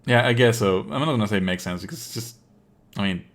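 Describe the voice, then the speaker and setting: A man giving live let's play commentary as a game streamer, expressive, sardonic and exasperated, swearing casually. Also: lowish voice, fast talker.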